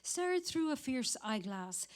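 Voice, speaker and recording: Higher-voiced woman giving a dramatic reading. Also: big pitch swings, takes it slowly. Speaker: actor reading at a literary event.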